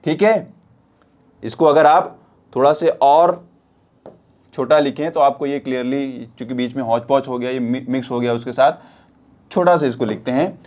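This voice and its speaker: A man's voice: medium pitch, moderate pace, pauses often, a little mellow. A man teaching an exam-prep science lesson, repeating points and often checking with questions that students follow. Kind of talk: spoken classroom lesson.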